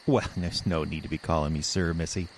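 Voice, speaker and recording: An actor playing a sly, folksy old hermit with a drawling backwoods way of talking in an audio drama. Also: male voice, rumbling low, fairly even intonation, fairly quick, a little hiss or hum. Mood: folksy, wry.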